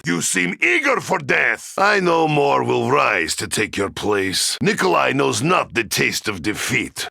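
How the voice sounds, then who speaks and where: An actor playing a gruff, boastful, world-weary Russian soldier in a video game, with a thick Russian accent, a rough voice and broken English that drops its articles. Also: male voice, high-pitched, bright, forceful tone, no echo, studio quality, heavily acted. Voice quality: gravelly, rough. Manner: broken English, dropping articles, speaking of himself by name. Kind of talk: video game character voice lines.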